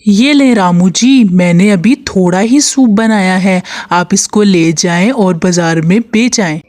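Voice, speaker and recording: A woman as a gentle devoted village wife. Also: mid-range voice, measured pace, close to an everyday voice. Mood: reassuring. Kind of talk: moral story character dialogue.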